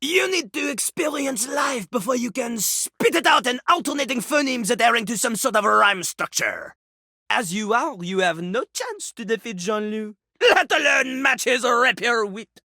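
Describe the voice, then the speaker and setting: A man's voice: very high voice, expressive, normal speed, pushed and bright, dry recording, clean studio sound, exaggerated voice. An actor playing a pompous, theatrical rapper toilet-man in a video game, boastful and grandstanding. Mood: lofty, lecturing. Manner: boastful, third-person grandstanding. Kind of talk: video game character acting.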